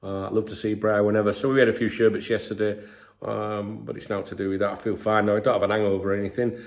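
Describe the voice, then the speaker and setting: Congested English man with gravelly voice. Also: on the low side, little pitch movement, fast. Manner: chatty, frequent throat clearing, filler-heavy. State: congested with a sore throat. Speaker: football channel host. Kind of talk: casual commentary to camera.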